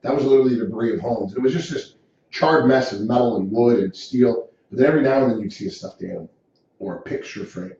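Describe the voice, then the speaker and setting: A middle-aged man answers interview questions in an earnest, descriptive way, his voice dark and mellow. He sits well away from the microphone, so the sound is dull and distant. A strongly echoing room surrounds him, with faint ambience underneath. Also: mid-range voice, quick pace. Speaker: insurance agency owner, guest.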